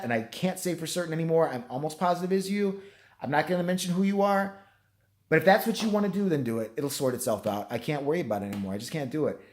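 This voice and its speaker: A tired man with a hoarse, nearly gone voice, rambling and swearing through a self-deprecating late-night vlog. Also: on the high side, a little mellow. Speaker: vlogger.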